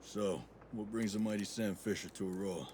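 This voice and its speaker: A low, tough soldier's voice acted by a man, blunt and dogged, with no patience for nonsense. It is game-cutscene acting with clear hiss and rain behind it. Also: steady tone, moderate pace, close to an everyday voice. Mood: wry, matter-of-fact. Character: tough, blunt male special forces soldier, dogged and vengeful.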